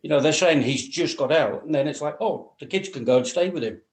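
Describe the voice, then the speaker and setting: A man's voice: on the high side, animated, fairly quick. A man giving a casual, blunt, colloquial critique as a member of a script panel. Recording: echoey room sound.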